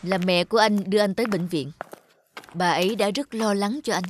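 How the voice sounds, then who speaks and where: A woman voicing a caring middle-aged male doctor, earnest and reassuring, in an animated fairy tale. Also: medium pitch, a little fast, music in the background, close to an everyday voice. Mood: concerned, reassuring.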